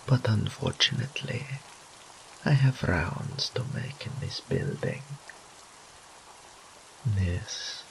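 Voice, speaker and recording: A man's voice: on the low side, creaky, slight background noise, full character voice, rough recording. A man voicing a teasing, smug and doting incubus demon in roleplay audio, with a theatrical purring drawl and whispered asides. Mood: smug, languid.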